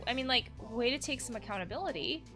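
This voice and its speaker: A woman's voice: fairly high pitch, animated, quick pace, bright, forceful tone, faint music behind. A woman hosting a casual let's play, reading game text aloud and adding chatty asides as she reacts. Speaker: host of a video game let's play, therapist.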